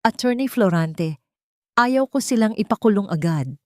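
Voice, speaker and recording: A woman's voice: mid-range voice, expressive, normal speed, dry recording, clean studio sound, pitched above the performer's own voice, natural voice. A woman voicing a wronged young woman who speaks in a cold, firm way in an audiobook drama.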